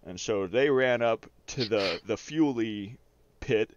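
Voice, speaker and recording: A man's voice: on the low side, takes it slowly, dry recording, dull audio. A man casually telling rambling, profane personal stories as a streamer over gameplay. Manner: rambling, profane, often chuckles while talking.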